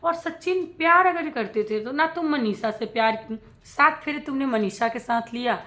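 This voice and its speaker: A woman in her thirties with a somewhat high-pitched voice speaks as a casual reaction vlogger. She addresses viewers directly and emphatically, repeating herself and slipping English words into her opinions. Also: normal speed, pushed and bright.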